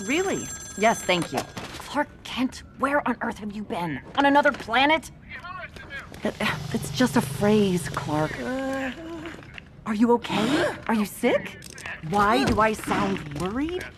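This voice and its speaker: A brisk, sarcastic female reporter from a video game. She is snappy and exasperated, slightly high and animated. There is clear noise behind her. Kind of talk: video game cutscene acting.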